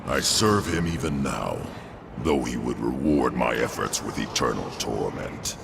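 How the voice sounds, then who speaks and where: A gravelly ancient soul-judge. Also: male voice, lively intonation, a little slow, noisy background, exaggerated voice. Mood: solemn. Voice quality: gravelly, very deep. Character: ancient male judge of lost souls, stern and weary. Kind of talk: game cutscene voice acting.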